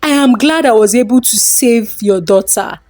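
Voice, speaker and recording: A woman's voice: a little high, normal speed, a little mellow, no echo, clean studio sound, lightly acted. A woman storyteller voicing a humble, modest fisher boy in a folktale. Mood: shy, modest.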